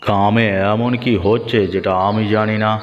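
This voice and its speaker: A man's voice: fairly low pitch, flat delivery, normal speed, long reverb tail, muffled recording, soft background music, close to an everyday voice. A man voicing a proud, wealthy village boss in a cartoon, authoritative and probing. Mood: imperious. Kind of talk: cartoon dialogue acting.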